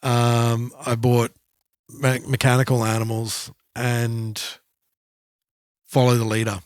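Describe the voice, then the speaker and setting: A man speaks at a middling pitch in a dry recording with little room sound. He is co-hosting a podcast, talking in a casual, conversational way and drawing on his own anecdotes. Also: monotone, slow pace, no echo. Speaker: podcast co-host. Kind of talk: casual chat, personal anecdotes.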